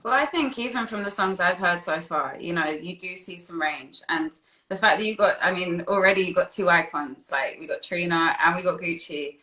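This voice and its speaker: Bright-voiced woman interviewer in an echoey room. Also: on the low side, moderate pace, few pauses. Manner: informal, chatty questioning. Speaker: interviewer, host. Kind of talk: casual interview chat. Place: live-streamed video call.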